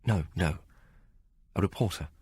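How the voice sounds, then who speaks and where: A man voicing a calm, kindly Victorian gentleman reporter with a gentle manner. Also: sits low, unhurried, husky, heavily acted.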